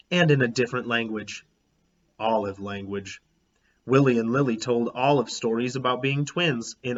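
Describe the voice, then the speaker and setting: A man reading a children's storybook aloud as a teacher, in an expressive, animated, emphatic way. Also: mid-range voice, muffled recording. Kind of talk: expressive storybook read-aloud in a child narrator's first person.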